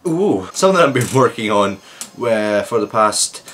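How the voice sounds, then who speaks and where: A man's voice: fairly low pitch, big pitch swings, normal speed, fairly bright tone. A man hosting his own channel, introducing a video in a rambling, joking way with many restarts. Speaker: channel host.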